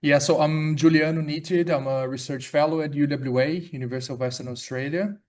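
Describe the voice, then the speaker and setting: A man from Brazil with a Brazilian accent, giving an academic talk as a research fellow, relaxed, with many fillers and restarts. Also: fairly high pitch, fairly quick. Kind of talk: academic presentation. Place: lecture theatre.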